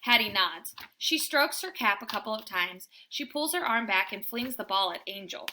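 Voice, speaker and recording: A woman reading a children's book aloud as storyteller, with expressive, dramatic and deliberate phrasing for young listeners. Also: mid-range voice, slight background noise.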